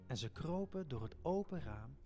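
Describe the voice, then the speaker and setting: A man acts an excitable middle child in a children's audio play. The delivery is theatrical and exclamatory, with lively swings in pitch, over prominent music. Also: normal speed, close to an everyday voice. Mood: wry narrating.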